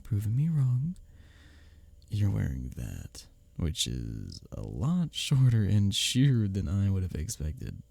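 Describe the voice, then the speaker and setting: A man acting an arrogant, teasing office rival who is secretly smitten, in an intimate audio roleplay, often breathy and near a whisper. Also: lowish voice, warm tone, dry recording, heavily acted. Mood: flustered, confessing. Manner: smirking, teasing, halting when flustered. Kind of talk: intimate ASMR audio roleplay addressed to the listener.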